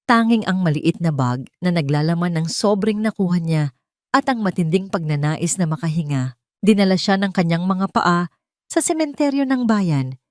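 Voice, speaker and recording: Woman, story narrator, slightly low pitch, dramatic scripted delivery, dry studio-like sound. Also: a little mellow, no echo.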